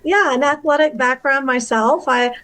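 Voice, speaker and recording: Upbeat woman guest with a high-set voice, chatty and self-correcting. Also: moderate pace, fairly bright tone. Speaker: entrepreneur guest. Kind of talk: casual video-call conversation.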